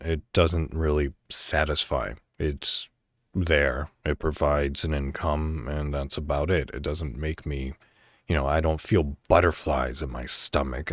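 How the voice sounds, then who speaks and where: A man's voice: deep voice, no echo, studio quality. An American man hosting a solo podcast, talking casually and candidly as he rambles and thinks aloud. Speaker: podcast host.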